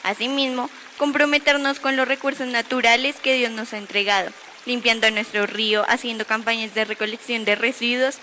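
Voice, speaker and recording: A woman reads a prepared narration in a bright, fairly high voice. There is faint noise in the recording. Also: steady tone, brisk. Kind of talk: scripted narration.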